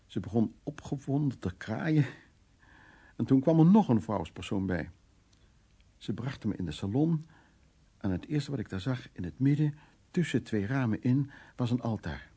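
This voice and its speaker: In a radio drama, a brusque male art restorer. His voice is dark, husky and gravelly, and he talks in hesitant, muttered repetitions, often as if to himself. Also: medium pitch, animated, moderate pace. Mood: agitated recollection. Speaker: actor in a radio play. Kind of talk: Dutch radio drama acting, dialogue and soliloquy.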